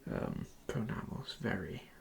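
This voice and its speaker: A person doing an ASMR dentist roleplay in a soft, breathy, creaky whisper, giving calm and reassuring instructions to a patient. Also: measured pace.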